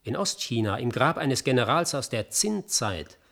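An actor playing a learned, independent-minded knowledge broker in a science-fiction radio play, speaking in a measured, articulate, dryly ironic way. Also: male voice, mid-range voice, sing-song, creaky, fairly bright tone, exaggerated voice. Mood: matter-of-fact. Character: Hermes, learned ex-banker turned independent knowledge broker, wryly curious.

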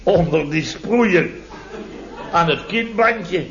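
An actor playing a flustered, blustering married building contractor boss in a radio comedy serial, excitable and stammering, repeating himself. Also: male voice, high voice, takes it slowly, crowd noise, heavily acted. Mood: animated storytelling. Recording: muffled vintage radio recording.

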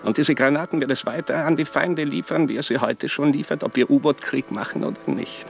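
An actor playing a haughty, condescending bishop in an old radio drama, lecturing in a gravelly voice. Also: male voice, fairly high pitch, fairly quick, soft background music. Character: haughty scheming bishop, older man, commanding.

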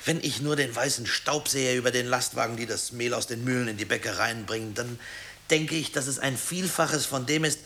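Sharp-voiced anguished man, acted. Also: mid-range voice, brisk, natural voice. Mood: haunted. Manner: agitated, intense. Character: anguished husband obsessed with an executed comrade. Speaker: actor in a radio play. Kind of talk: intense dramatic dialogue. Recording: hissy old radio recording.

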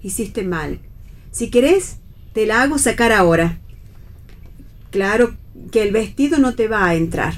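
A woman's voice: mid-range voice, animated, unhurried, pauses often, natural voice. An actress playing a fussy, anxious middle-aged mother in a radio play, with an Argentine accent and a hesitant, solicitous manner. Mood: fussing, insistent. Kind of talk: radio theatre play reading.

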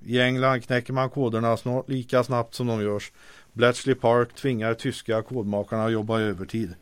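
A man's voice: medium pitch, level tone, clean tone, dry recording, lightly acted. An actor playing a glum, worried technical expert in a comic radio drama, hesitant and defeatist as he speaks.

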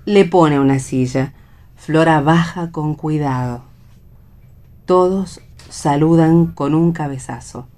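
A woman's voice: low-pitched, slow, warm tone. A woman with an Argentine accent reading the stage directions of a play as scripted narration.

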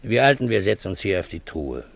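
Gravelly, pompous old schemer. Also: male voice, sits low, big pitch swings, fast talker, exaggerated voice. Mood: courtly, bossy. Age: older. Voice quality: gravelly, husky. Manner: pompous, theatrical, commanding. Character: sly, pompous old male village know-all and ghost-talker, brandy-loving. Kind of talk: radio play acting.

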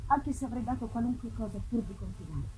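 A woman giving a measured, theatrical literary narration at a live performance. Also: fairly high pitch, animated, mellow, poor audio. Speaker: reader. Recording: clear background ambience noise.

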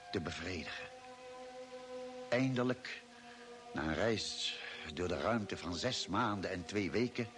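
Deep, animated radio-play narrator. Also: male voice. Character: grave male expedition member recounting a space voyage. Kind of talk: scripted dramatic narration.

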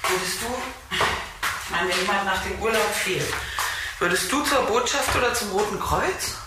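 A woman's voice: mid-range voice, normal speed, very noisy, close to an everyday voice. Actress as agitated woman, heavily echoing. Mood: doubting, scornful. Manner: agitated, challenging. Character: frightened, doubting woman, bitterly pessimistic. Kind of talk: radio drama dialogue. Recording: very echoey, distant, loud music underneath.

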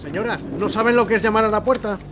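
Un hombre con acento de España interpretando a un gobernador civil nervioso y exasperado en una ficción sonora. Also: male voice, sits high, fast talker, natural voice. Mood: exasperated. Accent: Spanish from Spain. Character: flustered, exasperated male civil governor. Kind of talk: audio drama acting. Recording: noisy ambience behind.

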